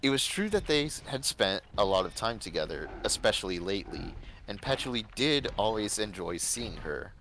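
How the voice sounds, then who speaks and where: A man's voice: fairly low pitch, very animated, normal speed, few pauses, a bit bright, slight background noise, poor audio. A man reading a fan story aloud as narrator on a livestream.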